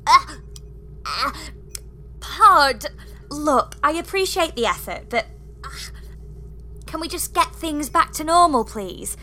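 A woman's voice: high-pitched, animated, takes it slowly, pauses often, sharp tone, a little hiss or hum, full character voice. A woman playing a lonely, doubtful space engineer in a comedy sci-fi audio drama, polite and plaintive. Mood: weary.

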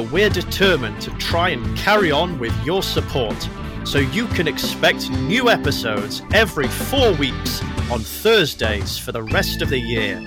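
Male announcer, scripted credits read, slightly high register, prominent music bed.

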